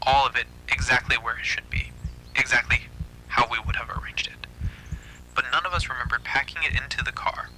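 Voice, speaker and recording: Male narrator for first-person horror fiction. Very bright, sharp-edged delivery at medium pitch, faint noise and hum behind. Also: low-quality recording.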